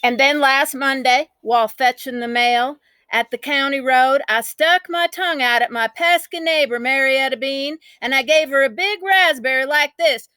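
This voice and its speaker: Woman playing a spirited, bright-voiced country kid. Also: high-pitched, moderate pace, no room sound. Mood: chatty, eager. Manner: folksy, emphatic, dramatic storytelling. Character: spirited, boastful, folksy country child telling their tale.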